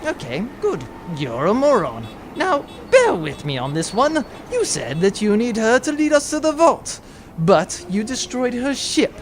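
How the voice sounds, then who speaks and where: A man's voice: extremely high pitch, huge pitch swings, unhurried, a little hiss or hum, full character voice. A man voicing a scheming, sarcastic baron in a comic audio adventure, with a pompous, theatrical manner. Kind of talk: audio adventure comedy acting.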